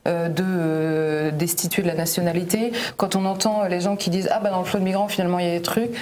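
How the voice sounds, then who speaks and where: A woman's voice: on the low side, steady tone, quick pace, darkish tone. A woman speaking as a panelist on a TV debate, combative and sprinkling her speech with fillers.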